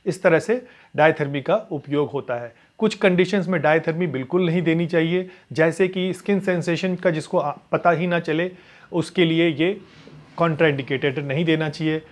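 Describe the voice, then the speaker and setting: A man's voice: on the high side, moderate pace. A man presenting an explanatory health tutorial as a physiotherapist, in a didactic way, repeating phrases for emphasis.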